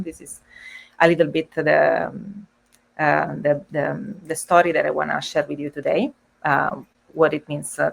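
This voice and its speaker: A woman with an Italian accent giving an informal online presentation, hesitantly, with frequent pauses. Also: deep voice, creaky. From Italy. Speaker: guest speaker, service designer. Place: indoors, on a video call. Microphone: video call microphone.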